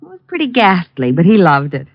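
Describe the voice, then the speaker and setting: Playful girlfriend; lively pitch, lo-fi old radio, faint music. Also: a little fast, dry recording. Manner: playful, teasing. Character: detective's girlfriend, adult woman, teasing and chatty. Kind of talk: 1940s radio acting. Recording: dull lo-fi old radio recording.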